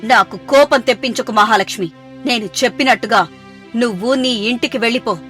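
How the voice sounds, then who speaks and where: A woman voicing an angry, commanding middle-aged mother-in-law in an animated family story. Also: on the high side, normal speed, soft background music, lightly acted. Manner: commanding, irritable.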